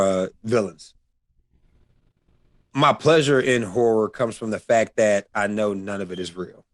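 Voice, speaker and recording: A man with a fairly low voice talks as a podcast co-host. He explains himself deliberately and chooses his words with care, in a dry, close sound. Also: no echo. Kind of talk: casual film discussion.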